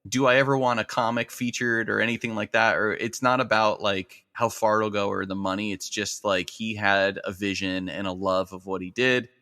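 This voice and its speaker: Male podcast guest, conversational, lowish voice with a bright, punchy edge. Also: steady tone, moderate pace, few pauses. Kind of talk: casual podcast chat.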